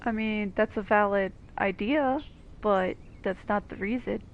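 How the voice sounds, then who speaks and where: A woman's voice: mid-range voice, measured pace, highs cut off. A woman giving casual, wry commentary as she plays a game. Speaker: game streamer. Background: game music.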